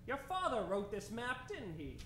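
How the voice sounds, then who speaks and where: An actor playing an eager, fearless doctor adventurer in old-time radio style, with theatrical, old-fashioned diction. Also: male voice, very high voice, sing-song, moderate pace. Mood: genial, curious. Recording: roomy stage recording, music underneath.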